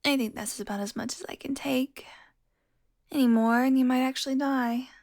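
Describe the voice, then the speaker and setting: A woman playing a seductive, teasing vampire who is smugly tender toward her prey, in an intimate audio roleplay with breathy, slow murmuring. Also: high-pitched, fairly even intonation, darkish tone. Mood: sultry teasing. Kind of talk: intimate audio roleplay, addressed to one listener.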